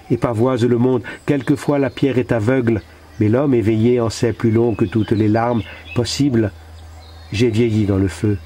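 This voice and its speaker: A man reading his own poems in a solemn recitation, with measured, deliberate phrasing and pauses. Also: mid-range voice, mellow, a little hiss or hum. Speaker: poet reading own work.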